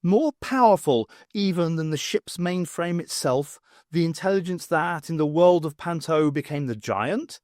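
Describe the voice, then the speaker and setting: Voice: medium pitch, lively intonation, unhurried, darkish tone, no room sound, clean studio sound, voice pushed up, natural voice. A reader voicing a flustered, sardonic woman adventurer who is sarcastic and prone to exclamations. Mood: defiant.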